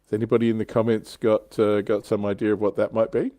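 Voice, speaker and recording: A man's voice: on the low side, flat delivery, fast talker, dark tone, no echo. A man hosting a casual, jokey hobby livestream, chatting and reading out viewer comments. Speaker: livestream host.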